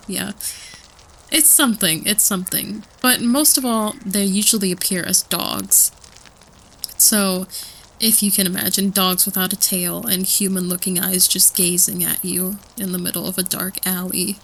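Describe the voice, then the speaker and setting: A woman plays a nervous female student in a campfire audio drama. She tells creepy folklore casually and haltingly, circling back on her words in a mid-range voice. Faint ambience sits behind her. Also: mid-range voice, fairly even intonation, gravelly, a bit bright, slight background noise, low-quality recording. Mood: nervous excitement. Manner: hesitant, giggly, repeats herself. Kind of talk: casual campfire storytelling roleplay.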